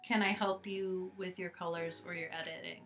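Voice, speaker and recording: A woman's voice: fairly low pitch, fairly even intonation, moderate pace, fairly bright tone. A woman in casual, playful chat, chiming in with a silly, teasing manner. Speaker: host's sister, reading questions and chiming in. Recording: music under the voice. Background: background music.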